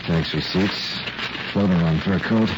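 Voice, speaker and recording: An actor playing a determined, wry private detective with a businesslike, conversational manner in 1940s radio drama. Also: male voice, low voice, unhurried. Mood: intent, wry. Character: brisk, determined adult male private detective. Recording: muffled, noisy old radio recording.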